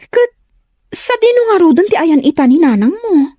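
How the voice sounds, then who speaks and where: A woman's voice: very high voice, sing-song, moderate pace, warm tone, no room sound. A woman playing a bubbly, inquisitive best friend of a bride, chatty and gushing in an Ilocano comedy drama. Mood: curious.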